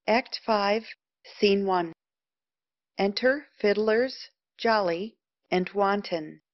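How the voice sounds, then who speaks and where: A woman's voice: medium pitch, drawn-out words, no echo. A woman narrating the scripted stage directions of an audio play. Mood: neutral. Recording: dull, lo-fi, dry audio.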